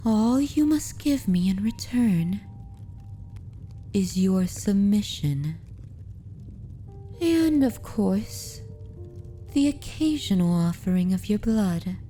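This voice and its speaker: A woman playing a dominant, possessive yet tender vampire mistress in an ASMR roleplay, speaking softly and intimately in a formal, courtly manner. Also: mid-range voice, expressive, soft background music. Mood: coaxing, possessive. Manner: slow, deliberate, formal and courtly. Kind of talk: ASMR roleplay, soft intimate delivery with whispers.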